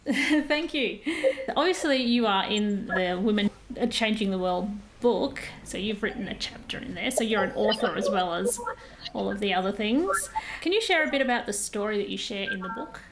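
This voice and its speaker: A woman's voice: mid-range voice, expressive, normal speed, noisy background. A woman hosting a podcast, reading a scripted introduction and then asking friendly questions. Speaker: podcast host. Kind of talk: podcast interview.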